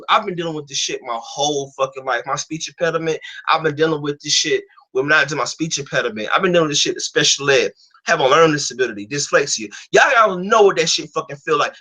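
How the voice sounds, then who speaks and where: Give me a young man, sharp and quick, ranting with a stutter. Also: fairly high pitch. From Atlanta, USA. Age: 20s. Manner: profane, heated, stuttering. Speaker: guest on a live stream. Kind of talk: casual chat, ranting.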